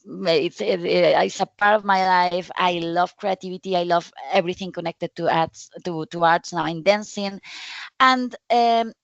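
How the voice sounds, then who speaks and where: A woman from Spain with a Spanish accent, giving a conference presentation in friendly, halting non-native English with frequent restarts. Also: lowish voice, gravelly, dry recording, lo-fi sound. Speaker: conference speaker.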